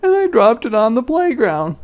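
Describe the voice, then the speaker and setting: Man doing an indignant, high-pitched little schoolboy. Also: wildly swooping pitch, normal speed, heavily acted. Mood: complaining. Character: indignant young schoolboy, insistent and whiny. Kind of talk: picture-book character voice.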